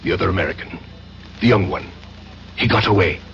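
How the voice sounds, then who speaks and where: Commanding French conspirator, deep-voiced. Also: male voice, brisk, creaky, fairly bright tone. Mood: urgent, scheming. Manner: terse, commanding, formal. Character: commanding French male conspirator posing as a waiter. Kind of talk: 1970s radio drama acting. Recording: dull noisy vintage radio, music.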